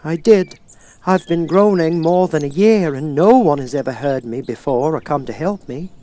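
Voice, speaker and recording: A man's voice: on the high side, lively intonation, moderate pace, mellow, voice pushed up, natural voice. A man doing a polite plaintive tin man. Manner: courteous, plaintive.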